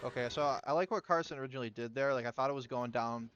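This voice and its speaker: A man casting a video game tournament in a relaxed, chatty way, with lots of fillers. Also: medium pitch, rapid-fire, lo-fi sound, a little hiss or hum. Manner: chatty, laid-back, filler-heavy. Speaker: tournament caster. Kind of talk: casual game commentary.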